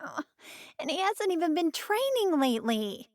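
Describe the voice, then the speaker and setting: High, spirited young anime heroine. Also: female voice, big pitch swings, moderate pace, no room sound, studio quality, heavily acted. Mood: exasperated. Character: spirited, admiring young woman, a fighter's daughter. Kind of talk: animated game character dialogue.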